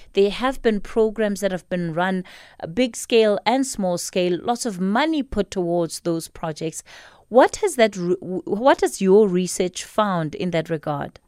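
A South African woman hosting a live radio talk show, speaking in a measured, emphatic way and repeating phrases for weight. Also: medium pitch, darkish tone, dry recording, studio quality.

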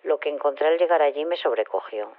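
Through a thin, phone-like filter, an actor plays a solemn male narrator in a radio drama. His fairly high voice delivers an eerie first-person tale in a scripted storytelling style. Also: expressive, fast, close to an everyday voice. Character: solemn man recounting an eerie encounter. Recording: narrow phone-like sound.

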